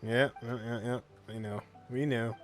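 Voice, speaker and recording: A man's voice: medium pitch, steady tone, normal speed. A young man casually commenting while playing a video game, chatty with joking asides. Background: faint game music.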